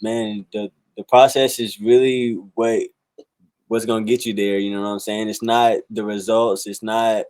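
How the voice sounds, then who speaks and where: A man in his twenties from South Carolina, a musician talking casually in an interview, relaxed and full of fillers. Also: on the low side, normal speed, no echo, low-quality recording. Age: 20s. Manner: laid-back, many fillers like "um", "like" and "bro". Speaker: musician guest.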